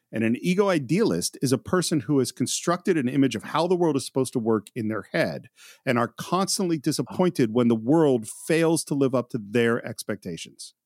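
A man's voice: medium pitch, darkish tone, dry recording. A middle-aged man co-hosting a film podcast in a loose, chatty way, often breaking into laughs. His voice sits mid-range and sounds dry and close on the mic. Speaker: podcast co-host. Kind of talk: casual film discussion.

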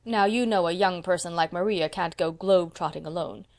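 A woman's voice: lowish voice, fairly quick, darkish tone, lightly acted. A woman voicing a proud, decisive seventy-year-old spinster who owns a house and speaks with emphasis. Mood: emphatic. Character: brisk, proud elderly spinster landlady, 70.

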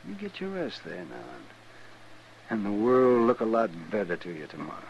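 An actor playing a weary, gentle man with a gravelly voice, hushed and halting in a 1950s radio western. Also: fairly low pitch, huge pitch swings. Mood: soothing, weary. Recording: dull old radio recording, faint noise.

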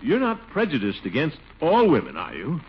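A man playing a pompous, blustering middle-aged man in 1940s radio comedy, chuckling and swooping up high. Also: huge pitch swings, normal speed. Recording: muffled old radio recording with hiss.

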